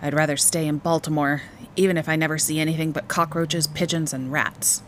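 A woman's voice: low voice, steady tone, fairly quick, fairly bright tone, slight background noise. A woman voicing a worried, stubborn ten-year-old boy who keeps protesting in a storybook. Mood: sulky. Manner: protesting, persistent. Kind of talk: storybook character voice.

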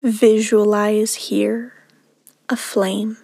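A woman guiding a meditation in a soothing, unhurried manner, leaving long pauses between short phrases, with soft, breathy endings. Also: mid-range voice, flat delivery, no echo, studio quality. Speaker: meditation guide. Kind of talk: guided meditation narration.

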